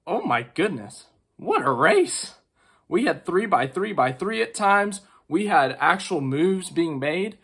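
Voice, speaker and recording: A man with strep throat giving a casual, chatty race recap, excitable and full of fillers. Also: a little high, husky. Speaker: racing vlogger.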